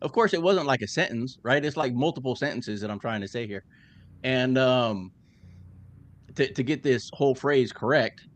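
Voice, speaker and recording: A man in casual conversation, telling an anecdote in a relaxed, easygoing way. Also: medium pitch, moderate pace.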